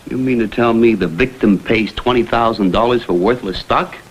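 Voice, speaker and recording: A man's voice: mid-range voice, normal speed, husky, lightly acted, rough recording. An American man playing an earnest, persistent photographer-investigator in a vintage TV crime drama, speaking in an urgent, clipped way. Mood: concerned, probing.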